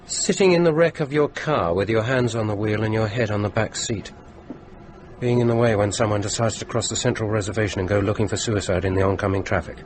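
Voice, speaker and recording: An actor with a British accent playing a morbidly curious, needling stranded traveller in a radio drama. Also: male voice, fairly low pitch, brisk, background noise, close to an everyday voice. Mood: dry, morbid.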